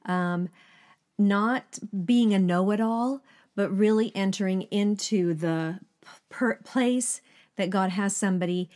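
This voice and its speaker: A woman's voice: mid-range voice, slow. An older woman from Sweden co-hosting a ministry talk show, speaking in an earnest, chatty way with frequent fillers. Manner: warm, earnest, laughs often, many fillers. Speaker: ministry co-host, pastor's wife. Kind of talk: casual conversational chat.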